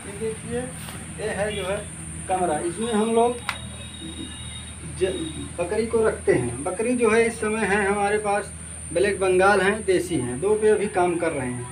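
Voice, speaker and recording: A man's voice: high-pitched, a little slow. A man in his thirties showing visitors around his goat farm, explaining plainly and leaning on the same filler phrase over and over. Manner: plain, repeats filler "jo hai" often. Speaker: goat farmer giving a farm tour. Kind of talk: casual walking tour explanation. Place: outdoors on a rural farm among fields and brick sheds. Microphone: phone camera held by someone else, moving. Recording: loud outdoor noise with insects.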